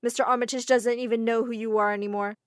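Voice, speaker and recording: A woman's voice: fairly high pitch, flat delivery, fast, no room sound, clean studio sound, natural voice. A woman reading the lines of a cold, scheming rich stepmother in a narrated story.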